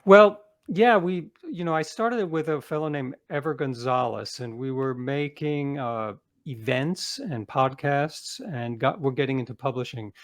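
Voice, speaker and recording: A man's voice: mid-range voice, measured pace, few pauses. A man speaking casually as an author being interviewed.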